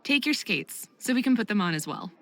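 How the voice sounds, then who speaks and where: A woman voicing a supportive, reassuring friend in a visual novel game, encouraging and gently chiding. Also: medium pitch, big pitch swings, a little fast, dry recording. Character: supportive, reassuring female friend of young skater.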